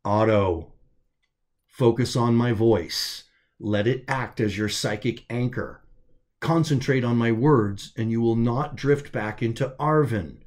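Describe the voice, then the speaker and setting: A man voicing a calm, commanding male officer in an audiobook, measured and reassuring as he speaks over the comms. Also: medium pitch, close to an everyday voice. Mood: calm, coaxing. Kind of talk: dramatic audiobook character voice.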